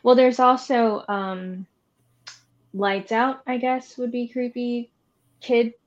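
A woman's voice: on the high side, little pitch movement, slow, dull audio. A woman co-hosting a podcast, chatting casually and informally about horror films with wry, opinionated asides. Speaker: podcast co-host.

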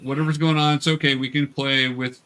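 A man's voice: mid-range voice, flat delivery, brisk, bright, forceful tone, smooth. A man running a tabletop game as game master, coaching young players in a casual, patient way with hesitant explanations.

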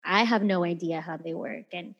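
A woman's voice: lowish voice, moderate pace. Giggly young woman, chatty podcast co-host. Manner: giggly, chatty, many fillers. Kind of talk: casual chat reading listener messages. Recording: dull lo-fi audio with long reverb.

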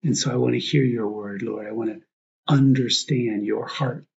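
Male priest for guided meditation: gravelly, husky, reflective, short prayerful phrases, slightly dull recording. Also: mid-range voice, fairly even intonation, moderate pace, darkish tone.

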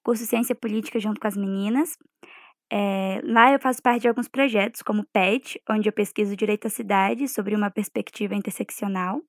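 A young woman from Brasília introducing herself on a podcast in a relaxed, conversational way. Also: medium pitch, fairly quick, no room sound, clean studio sound. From Brasília, Brazil. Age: young adult. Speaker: podcast researcher.

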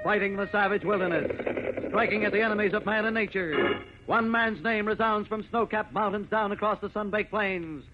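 A man's voice: sits high, moderate pace, lightly acted. A man narrating a 1950s radio adventure serial in a dramatic, declamatory way. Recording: dull old radio recording with loud music.

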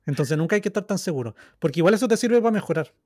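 A man's voice: high voice, expressive, very fast, darkish tone, no echo, clean studio sound. A man in his thirties with a Chilean accent, co-hosting a casual podcast in a rambling, self-mocking, repetitive way. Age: 30s. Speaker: podcast co-host. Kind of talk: casual, joking chat.

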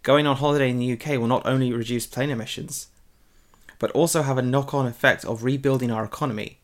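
A man's voice: medium pitch, steady tone, quick pace. A man reading an opinion article aloud as scripted narration. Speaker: article reader.

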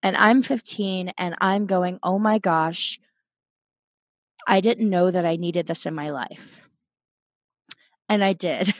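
A woman in her late thirties, casually telling a story as a podcast guest from her bedroom, chatty and quick to laugh. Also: on the low side, dry recording, clean studio sound. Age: 30s. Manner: chatty, giggly, self-deprecating.

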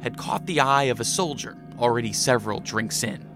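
A man with a gravelly voice reading scripted narration for a radio drama. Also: mid-range voice, moderate pace, over music.

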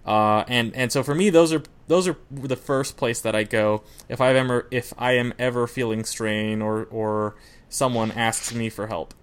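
A man with a smooth, clear voice at a middle pitch co-hosts a podcast about singing. He talks in a relaxed, rambling way and often circles back to restart his point. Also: normal speed. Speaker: podcast co-host, singer. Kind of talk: casual podcast chat.

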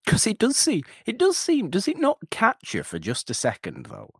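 A man's voice: high-pitched, moderate pace, slightly rough, no echo, studio quality. A man co-hosting a podcast, chatting casually and ramblingly about an old film, often breaking off mid-sentence. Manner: rambling, animated, self-interrupting. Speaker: podcast co-host.

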